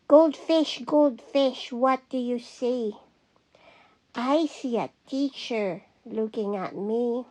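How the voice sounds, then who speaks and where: Female teacher for picture-book read-aloud: high voice, very slow delivery, singsong repeated phrasing. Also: warm tone. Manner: singsong, deliberate repetitive phrasing.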